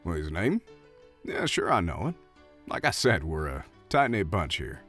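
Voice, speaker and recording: A man's voice: low voice, big pitch swings, moderate pace, soft background music, exaggerated voice. A man playing a friendly, chatty Old West saloon bartender with a hesitant, folksy way of talking. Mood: gossipy, regretful. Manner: hesitant, folksy, soft-hearted. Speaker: voice actor. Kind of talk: audio roleplay acting addressed to the listener.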